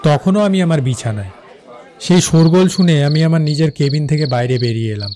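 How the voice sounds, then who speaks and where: A man playing a shaken railway officer who recounts a ghostly encounter in an animated horror story, with suspenseful, halting delivery. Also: on the high side, some chatter behind, lightly acted. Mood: grave. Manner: suspenseful, halting, repeats words for effect.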